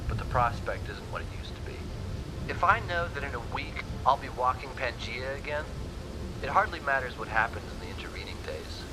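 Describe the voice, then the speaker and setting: A man's voice: medium pitch, natural voice. Weary immortal man, voiced by an actor, noisy recording. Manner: halting, philosophical, formal diction. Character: weary immortal man musing on his shapeless endless life. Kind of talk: dramatic radio play monologue.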